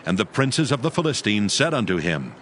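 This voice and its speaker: A man narrating a dramatized audio Bible, reading scripture as a solemn, measured storyteller. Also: on the low side, sing-song, fairly bright tone, slight background noise.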